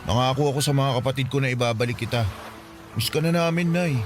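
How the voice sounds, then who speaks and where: A man's voice: medium pitch, fast talker, over music, lightly acted. A man playing a hurt young son in an emotional Filipino radio drama, speaking hesitantly and pleadingly. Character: hurt, pleading teenage son confronting his runaway mother. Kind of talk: Tagalog radio drama acting.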